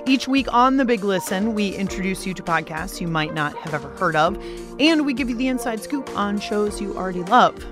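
A woman's voice: medium pitch, expressive, fairly quick, music in the background. A woman with an American accent hosting a radio show, reading scripted narration in a playful, conversational way. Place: dry studio.

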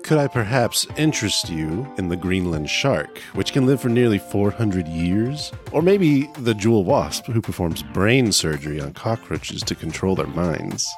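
A man reading a scripted podcast promo like a sales pitch, drawn out and mock-suave. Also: expressive, unhurried, few pauses, faint music behind.

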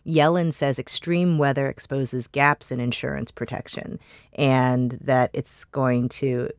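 A woman co-hosting a podcast in relaxed, chatty talk, reading the news and listener messages. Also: a bass voice, unhurried, no room sound, clean studio sound. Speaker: podcast co-host. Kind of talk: casual news chat.

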